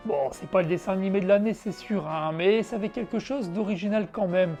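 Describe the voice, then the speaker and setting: Female presenter, mid pitch, soft-edged tone, conversational scripted review with light music underneath. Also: fairly quick. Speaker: host of a retro video game show. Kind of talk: scripted review narration with casual asides.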